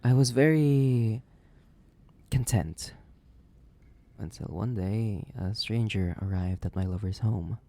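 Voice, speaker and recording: Man voicing a slow, gravelly, sadistic vampire. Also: fairly low pitch, dark tone. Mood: seductive reminiscing. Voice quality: gravelly, breathy, often dropping to a whisper. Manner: slow, theatrical, savoring, condescending. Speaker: audio roleplay voice actor. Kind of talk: horror audio roleplay monologue.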